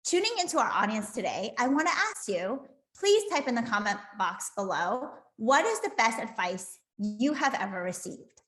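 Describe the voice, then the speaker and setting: A woman's voice: a little high, very animated, moderate pace, a bit bright. A woman hosting a live online interview in a conversational way. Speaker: host and interviewer. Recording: roomy, echoing room sound.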